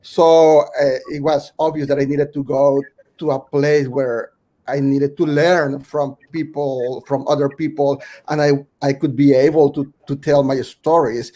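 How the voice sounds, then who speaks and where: A fairly high-voiced man from Mexico speaks English on a video call. His manner is earnest and halting, and he often restarts his sentences. He talks as a panelist in a casual discussion. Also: steady tone, warm tone. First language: Spanish. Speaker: panelist, theatre creator.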